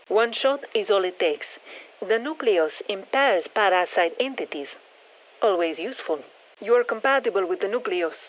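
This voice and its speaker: A woman playing a composed female scientist who guides a mission in a video game, giving measured, businesslike instructions. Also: mid-range voice, phone-quality sound, lightly acted. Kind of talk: scripted video game voice lines. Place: dry studio.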